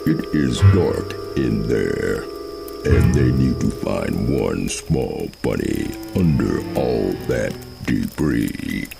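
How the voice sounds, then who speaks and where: A rabbit starship crewman in a science-fiction audio drama, speaking in an extremely low, gravel-filled voice. The words come out very slowly and respectfully, with loud music underneath. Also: animated, exaggerated voice. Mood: grave. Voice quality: very deep, gravelly.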